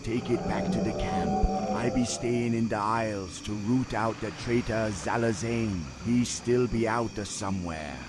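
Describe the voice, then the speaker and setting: A man's voice: on the low side, a little slow, hardly any pauses, loud music behind the voice, close to an everyday voice. A man voicing a fierce, loyal troll chieftain in a fantasy game, commanding, with folksy dialect grammar. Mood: grave resolve. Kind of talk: fantasy game cutscene acting.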